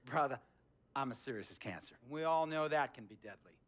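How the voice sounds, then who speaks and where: A domineering billionaire sports boss, acted by a man for a dramatic video game scene. He speaks at a middling pitch, lecturing theatrically. His emphasis is slow and deliberate, with menace underneath. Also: expressive, a bit bright, close to an everyday voice. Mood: stern. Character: wealthy, domineering billionaire basketball team owner.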